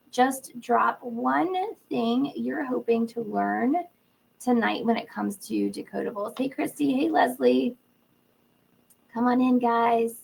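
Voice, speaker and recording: Woman, webinar host, sore throat and cold, gravelly, fairly high voice, upbeat greetings. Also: low-quality recording. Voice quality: gravelly, husky. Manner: upbeat, greets arrivals by name. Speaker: webinar host, literacy educator. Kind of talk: casual live webinar welcome. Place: indoor room.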